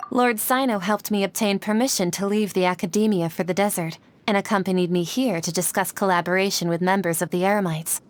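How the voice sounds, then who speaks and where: A woman playing a modest, earnest young scholar in a video game, speaking in a measured, formal way. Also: mid-range voice, lightly acted. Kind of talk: video game dialogue acting. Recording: game music behind voice.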